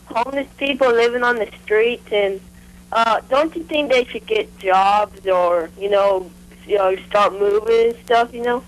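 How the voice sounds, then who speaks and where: A woman's voice: on the high side, unhurried. A woman making a phone call, chatting casually with naive, childlike wording. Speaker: prank caller. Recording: telephone call.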